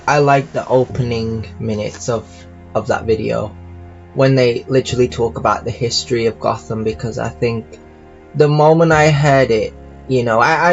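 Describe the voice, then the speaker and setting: A man giving casual, rambling game commentary like an audio log, halting and often circling back to repeat his phrases. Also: mid-range voice, dull audio. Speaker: YouTuber reviewing games.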